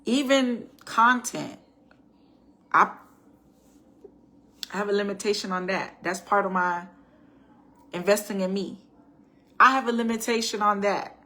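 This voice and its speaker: Bright-voiced chatty woman vlogger. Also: medium pitch, measured pace, long pauses. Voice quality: raspy at times. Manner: chatty, addresses viewers as y'all, frequent restarts and rhetorical "right?". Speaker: faith and life-planning vlogger. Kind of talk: casual personal storytime talk.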